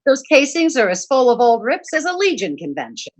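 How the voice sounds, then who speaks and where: A woman playing a sensible, gently teasing wife in old-time radio comedy, with a dry manner. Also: high-pitched, very animated, fairly quick. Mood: wry. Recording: clearly noisy live-stream recording with clicks.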